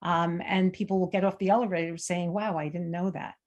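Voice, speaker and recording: A woman's voice: on the low side, level tone, fairly quick. A middle-aged American woman, a guest in a conversational interview, talking freely with many fillers and restarts. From United States. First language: Armenian. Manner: chatty, frequent fillers and restarts.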